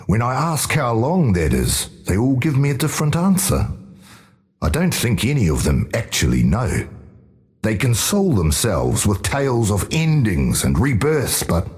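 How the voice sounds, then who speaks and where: Restless imprisoned warrior spirit, lowish voice, very animated pitch, sardonic, bitter, game character. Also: male voice. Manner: sardonic, agitated. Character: bitter, restless dead tribesman railing at his prison.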